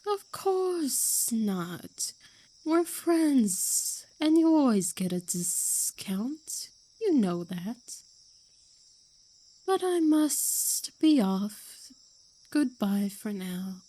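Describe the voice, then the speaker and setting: A woman playing a calm, hypnotic creature who helps her friend, speaking slowly and soothingly in an ASMR roleplay. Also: on the high side, full character voice. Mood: friendly, gracious. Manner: slow, deliberate, soothing.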